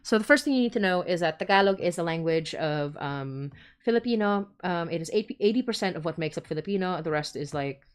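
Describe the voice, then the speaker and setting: Woman streamer just chatting, kinda low voice, rambly, keeps interrupting herself. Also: lively intonation, quick pace, clean tone. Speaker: podcast host and creator. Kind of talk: casual livestream chat.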